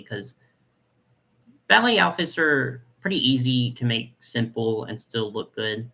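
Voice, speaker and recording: A young man with a nasal voice hosting a casual live chat, reading comments and talking in a chatty, soft way with lots of fillers. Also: mid-range voice. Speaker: doll collector channel host.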